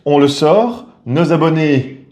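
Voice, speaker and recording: A man's voice: a little high, animated, slow, darkish tone. A middle-aged man, a family vlogger, chatting casually to camera and explaining things step by step.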